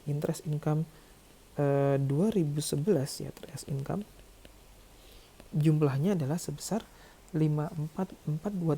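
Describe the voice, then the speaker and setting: Tutorial lecturer, very mellow and dark, gravelly edge, explains step by step. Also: mid-range voice, slight background noise. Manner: didactic, frequent check-in tags. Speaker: accounting lecturer. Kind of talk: tutorial narration, working through figures aloud.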